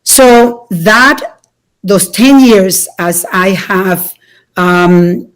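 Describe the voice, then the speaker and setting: A woman in her fifties from the Dominican Republic, telling her life story to an audience in an earnest way, with many small pauses. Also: medium pitch, poor audio. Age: 50s. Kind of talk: personal talk to an audience.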